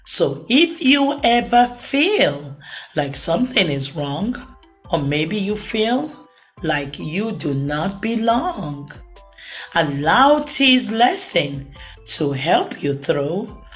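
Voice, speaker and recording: Female storytime narrator: expressive and animated, unhurried delivery for young children, over music. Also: fairly low pitch. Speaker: children's storytime reader and host.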